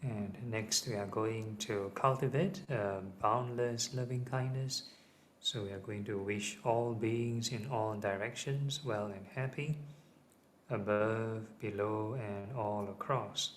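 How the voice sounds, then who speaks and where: A man teaching guided meditation, giving gentle, measured instructions. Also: fairly low pitch, fairly even intonation. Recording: clearly audible ambient background noise.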